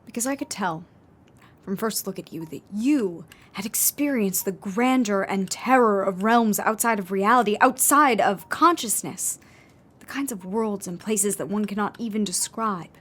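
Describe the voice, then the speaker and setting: An actress playing an odd, intense sculptor in a radio drama, speaking in an earnest, insistent, eager way. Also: female voice, mid-range voice, normal speed, a bit bright, lightly acted. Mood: eager, fervent. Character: odd, intense female sculptor hungry for occult knowledge.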